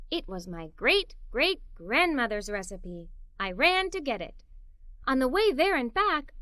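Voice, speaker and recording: Animated, high-pitched woman reading a children's story. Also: dry recording, studio quality. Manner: animated, theatrical, giggly storytelling delivery. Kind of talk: expressive scripted read-aloud for young children, told as a young girl.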